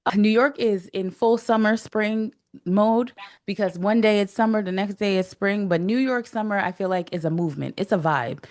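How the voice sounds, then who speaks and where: A woman's voice: medium pitch, moderate pace, a little mellow. A woman hosting a podcast interview over a remote call, chatty and affirming, often ending her points with "right?". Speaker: podcast host. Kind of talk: casual interview chat. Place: remote call from New York.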